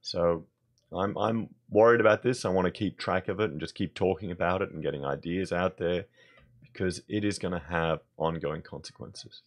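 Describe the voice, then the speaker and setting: An Australian man with a hoarse voice, giving blunt, conversational opinion commentary in his vlog. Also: low-pitched, moderate pace. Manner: blunt, conversational, thinking aloud. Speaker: vlogger.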